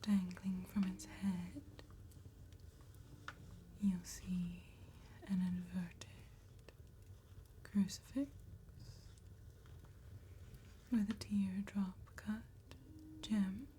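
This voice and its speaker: An elegant female vampire acted out by a woman whispers in a gothic ASMR roleplay. Her delivery is extremely slow, mellow and breathy, with formal, courteous phrasing. A steady noise sits faintly behind the voice. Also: mid-range voice. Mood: calm, admiring. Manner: formal, unhurried, precise, gentle. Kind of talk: soft-spoken ASMR roleplay, mostly whispered.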